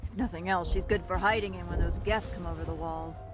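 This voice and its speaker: A woman's voice: lowish voice, steady tone, brisk, lightly acted. Actress as weary, guarded spacefaring crewwoman, music behind. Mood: guarded, evasive. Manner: hesitant, evasive, resigned. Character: weary, guarded freighter crew survivor, woman. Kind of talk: naturalistic video game dialogue.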